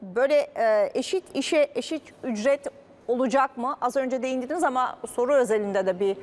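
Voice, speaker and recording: Female news anchor, high voice, conducting a live studio interview. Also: a little fast, a little mellow. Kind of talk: live TV interview, relaying viewer questions. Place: large indoor TV studio.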